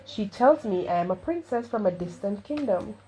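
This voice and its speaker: A woman's voice: mid-range voice, animated, moderate pace, dark tone, natural voice. A woman reading the part of a polite, earnest young princess in a children's storybook. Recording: clearly noisy home recording.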